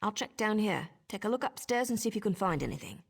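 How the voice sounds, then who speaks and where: An actress playing a sly, playful assassin in a fantasy game, husky and creaky, with a wry, teasing manner. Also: female voice, medium pitch, big pitch swings, fast, exaggerated voice.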